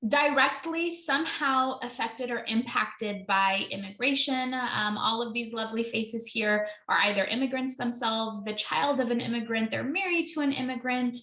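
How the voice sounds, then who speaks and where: A woman's voice: a little high, pushed and bright, echoey room. A woman in her thirties, an immigration attorney, presenting a webinar in a chatty way with many ums and self-corrections.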